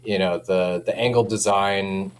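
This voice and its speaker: Male product rep, low voice, informal and enthusiastic, easy chuckler, recorded over a steady hum. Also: measured pace. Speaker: speaker company representative giving a product demo. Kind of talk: casual product chat. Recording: clear background noise with hum.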